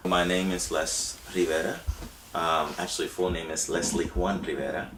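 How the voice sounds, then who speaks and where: A man's voice: low voice, steady tone, moderate pace, roomy sound, far from the mic, background noise. A man who is a musician and filmmaker talking casually about his work, rambling with fillers. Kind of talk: casual interview talk.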